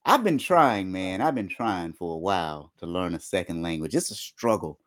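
A man's voice: sits low, lively intonation, normal speed, fairly bright tone. Joking man hosting a live podcast. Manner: joking, conversational. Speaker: podcast host. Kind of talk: casual live-stream chat.

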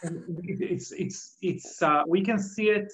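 A man's voice: sits high, a little slow, a bit bright. A man from Israel with an Israeli accent, talking reflectively in a casual conversation and explaining his points with examples. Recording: muffled lo-fi audio.

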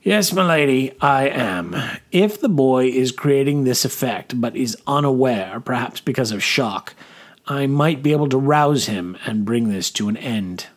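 A male narrator acts out a rough-hewn army major from an audiobook. He speaks in formal military phrases with calm command, sounding resolute and sure of his authority. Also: medium pitch, a little slow, lightly acted. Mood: calm, firm. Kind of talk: audiobook character dialogue.

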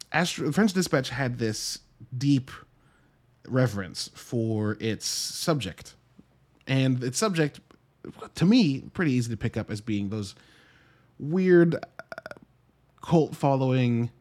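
A man's voice: mid-range voice, a little mellow. A man giving a casual solo movie review in a conversational, wry way, breaking into his own thoughts with dry asides. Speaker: podcast host and movie reviewer.

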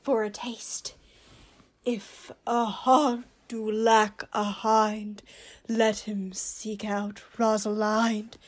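A woman's voice: mid-range voice, flat delivery, very slow. A woman playing a witty, pompous male court fool who teases with mock logic in a Shakespearean radio play. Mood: mocking, gleeful.

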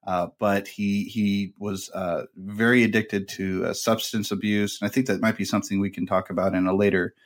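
A man's voice: on the low side, fairly even intonation, normal speed, few pauses. A man hosting a podcast, telling a long family story in a rambling, casual way with many fillers. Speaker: podcast host. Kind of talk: casual conversation.

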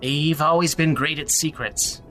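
A man acting in a naturalistic audio drama as a tired, sardonic young fugitive who talks casually and haltingly. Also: a little high, steady tone, pushed and bright, faint music behind.

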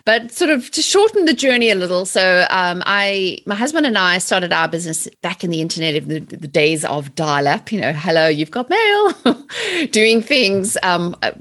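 A woman in her forties with a South African accent, chatting lively as an interview guest. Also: on the low side, normal speed. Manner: lively, chatty, laughs often. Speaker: guest, mindset trainer. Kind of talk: casual interview chat.

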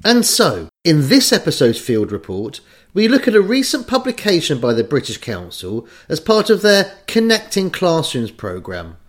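A man hosting a podcast, reading a scripted introduction in a clear, measured way. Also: on the high side, wildly swooping pitch, soft background music. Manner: clear, measured read-out delivery. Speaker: podcast host.

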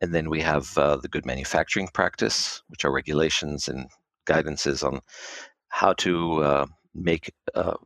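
A middle-aged man from Israel, a biotech quality expert, answering podcast interview questions in a measured, halting way with many fillers. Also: very deep voice, fairly bright tone, no room sound, clean studio sound.

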